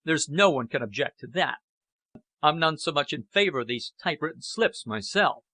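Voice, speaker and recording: A man's voice: a little high, expressive, normal speed, bright, forceful tone, no room sound, pitched above the performer's own voice, exaggerated voice. A man voicing a sceptical working-class committee man in an audiobook, blunt and using dialect. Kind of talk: audiobook character acting.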